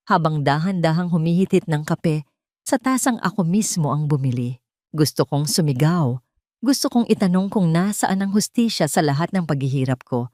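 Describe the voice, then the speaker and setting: A woman with a fairly low voice narrates a dramatic story in the first person. Her delivery is solemn, measured and emotive. The sound is dry, with very little room around it. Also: warm tone, no room sound.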